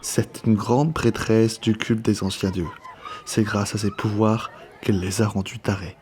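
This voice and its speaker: A man's voice: lowish voice, steady tone, measured pace, a little mellow, close to an everyday voice. A man playing a matter-of-fact, gossiping insect tavern patron in an audio drama. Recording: crowd chatter, faint noise.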